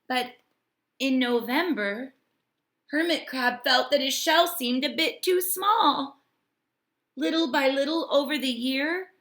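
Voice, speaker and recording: A woman teacher reading a storybook aloud and talking to her students in an expressive, deliberate way. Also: high voice. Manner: expressive, deliberate, addressing children directly.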